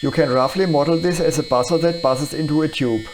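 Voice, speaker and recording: A man presenting an electronics tutorial in scripted, explanatory narration with a measured, instructional manner. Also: fairly high pitch, slight background noise.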